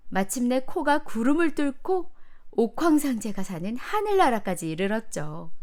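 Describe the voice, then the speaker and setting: A woman narrating a children's storybook in an expressive, careful storytelling manner meant for young children. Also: on the high side. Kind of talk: animated children's storybook reading.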